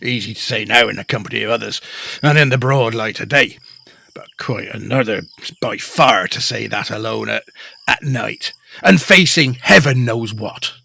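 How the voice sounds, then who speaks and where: An actor playing a nervous, evasive husband in a period ghost story, hesitant, fussy and defensive, often stammering. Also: male voice, medium pitch, moderate pace, vocal fry, sharp tone, breathy edge, exaggerated voice. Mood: nervous, grave. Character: nervous, evasive husband, anxious to flee haunted house. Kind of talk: period ghost story audio drama acting.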